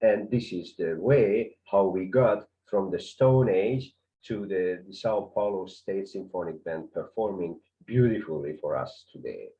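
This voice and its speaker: A man with a Hungarian accent, a conductor giving a hesitant lecture full of fillers, rising in pitch on key words. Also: unhurried, warm tone, highs cut off.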